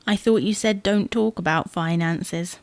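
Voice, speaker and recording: A woman's voice: fairly low pitch, unhurried, no echo. A woman playing an exasperated, image-conscious wife who scolds and bosses in a domestic comedy audio drama. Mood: firm persuading. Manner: bossy, scolding, sarcastic.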